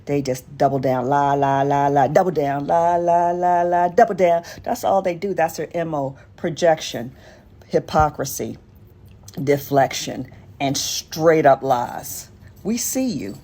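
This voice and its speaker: A woman's voice: low voice, unhurried, dark tone. An American woman on a casual political rant, blunt, sardonic and profane, in a noisy clip recording.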